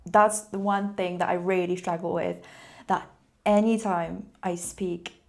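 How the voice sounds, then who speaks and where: A young woman who is a native Polish speaker, chatting casually and quickly in a vlog, with frequent light laughs. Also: on the low side, steady tone, a little mellow. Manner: fast-talking, laughs often, upbeat. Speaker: language-learning vlogger.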